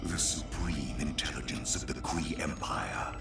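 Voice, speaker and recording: An actor voicing a cold, commanding alien supreme intelligence in a video game cutscene. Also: male voice, a bass voice, whispered. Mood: imperious. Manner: slow commanding decrees. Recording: echoey, distant, over loud music.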